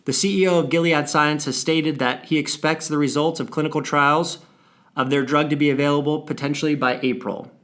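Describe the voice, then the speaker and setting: A man with a somewhat higher-than-average voice presents a prepared briefing to clients. He reads in a formal, measured manner, setting out figures and names deliberately. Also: fairly even intonation, fairly bright tone. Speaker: financial firm presenter on a client briefing call. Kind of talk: scripted briefing read aloud.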